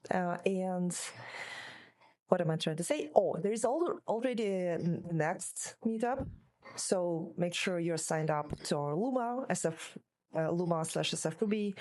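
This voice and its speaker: A woman hosting a meetup, giving an informal introduction in a halting way, searching for her words. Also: fairly low pitch, darkish tone. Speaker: meetup host.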